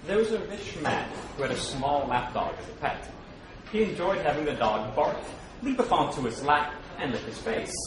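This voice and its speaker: A man's voice: fairly high pitch, lively intonation, normal speed, far from the mic. A man reading a scripted animal fable aloud at a public program, with dramatic emphasis. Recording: very echoey, noisy room, off mic.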